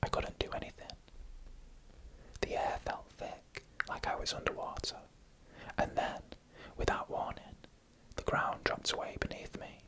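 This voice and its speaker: Whispering ASMR storyteller, bright and breathy. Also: low-quality recording. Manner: slow, hushed, deliberate storytelling in an unvoiced whisper. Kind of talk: whispered ASMR narration of scary first-person stories.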